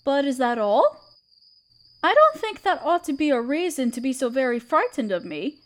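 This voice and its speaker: A woman playing a gentle, protective half-spider queen in a roleplay audio drama, speaking tenderly and soothingly to the listener. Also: high-pitched, very animated, normal speed. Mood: puzzled, reassuring. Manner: tender, soothing, hesitant and coaxing. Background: chirping crickets.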